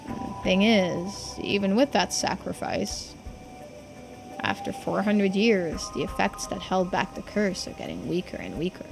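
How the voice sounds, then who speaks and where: A woman's voice: mid-range voice, animated, a little slow, gravelly, music in the background, low-quality recording. A woman voicing a folksy, earnest islander who explains old secrets in video game dialogue. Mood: solemn, explanatory.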